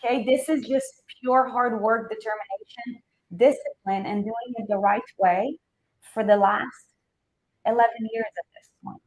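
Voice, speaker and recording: A woman's voice: a little high, steady tone, unhurried, a little mellow, rough recording. Earnest woman coaching. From Israel. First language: Hebrew. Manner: earnest, repeats herself for emphasis. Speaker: wellness coach and host. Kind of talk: motivational livestream talk.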